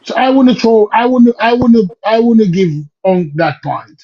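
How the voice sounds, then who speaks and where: A man's voice: very high voice, animated, a little slow. A man arguing a point in a podcast discussion, firing off rhetorical questions in a lecturing way. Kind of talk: argumentative discussion. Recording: dull lo-fi audio.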